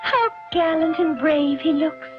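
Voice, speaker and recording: A woman voicing a gentle ballerina doll who speaks in a soft, wistful, sighing way, on a dull lo-fi soundtrack. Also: very high-pitched, a little slow. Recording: dull lo-fi old soundtrack with music.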